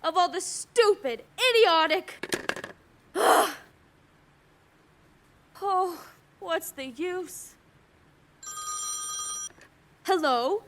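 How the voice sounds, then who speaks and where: A woman's voice: animated, drawn-out words, long pauses, background noise, exaggerated voice. A woman playing a demanding, self-pitying bedridden wife in a melodramatic suspense radio play. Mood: frantic, furious. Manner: shrill, imperious, melodramatic.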